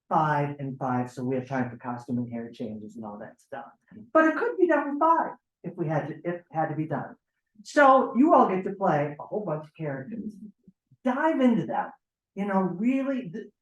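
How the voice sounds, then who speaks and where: A male director briefs a cast informally, his pitch moving around in a lively way. The room adds a noticeable echo to his voice. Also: muffled recording. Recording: echoey room.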